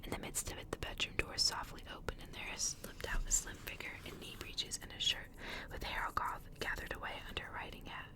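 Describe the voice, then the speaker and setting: A woman speaking in a breathy, unvoiced whisper, soft and intimate, as an ASMR host and reader. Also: brisk. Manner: soft, hushed, intimate delivery. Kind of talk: whispered ASMR story reading and chat.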